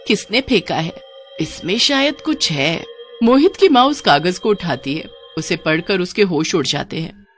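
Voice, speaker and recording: A woman storyteller giving dramatic scripted narration of a cartoon horror story. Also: lowish voice.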